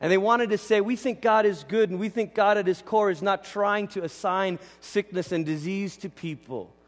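A man's voice: high-pitched, moderate pace. A man from Malaysia preaching as a pastor in a conversational, informal teaching style, often voicing quoted asides. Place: large indoor church hall. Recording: reverberant hall sound.

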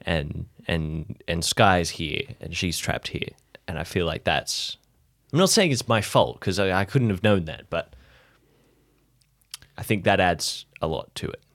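A man playing a guilt-ridden, introspective friend in an improvised audio drama, opening up in halting, repeated phrases. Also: deep voice, a bit bright, dry recording, studio quality. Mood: guilty, burdened.

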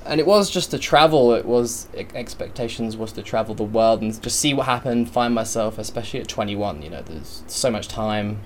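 A man from England with an English accent carrying an Australian twang, chatting casually as a podcast guest, upbeat and quick to laugh. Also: lively intonation, a little hiss or hum. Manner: upbeat, laughs often, breaks into high laughs. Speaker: podcast guest, content creator. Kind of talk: casual chat.

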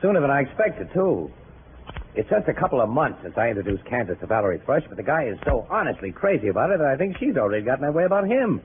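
Guy acting cocky american crime photographer in old radio drama, slangy n pushy, real animated, tinny noisy sound. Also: medium pitch, fast talker, natural voice. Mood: cocky scheming. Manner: slangy, pushy, self-assured. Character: brash, cocky, fast-scheming crime photographer man. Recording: scratchy old radio broadcast, thin phone-like band.

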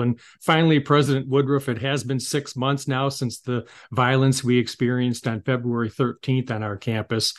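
A man hosting an interview, reading prepared news items and questions with measured, formal phrasing. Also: mid-range voice. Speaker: interview host.

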